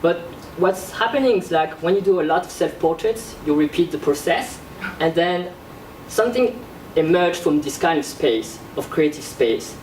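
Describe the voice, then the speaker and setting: A young man, an artist born in Korea and raised in France, presenting his work in a classroom in a halting, casual way with many fillers. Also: fairly high pitch, expressive, darkish tone, distant mic, slight background noise. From born in South Korea, raised in France. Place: classroom with projector screen. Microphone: far camera at back of room. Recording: roomy echo from across the room.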